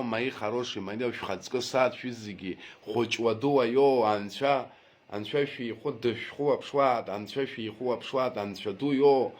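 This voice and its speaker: A man from Abkhazia, making an earnest, emphatic personal appeal straight to his viewers and repeating his points. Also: mid-range voice. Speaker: video blogger making a public apology. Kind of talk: direct-to-camera personal appeal.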